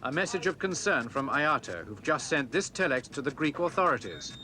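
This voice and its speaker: A man's voice: fairly high pitch, animated, normal speed, sharp tone. A man narrating a plain, factual scripted news report.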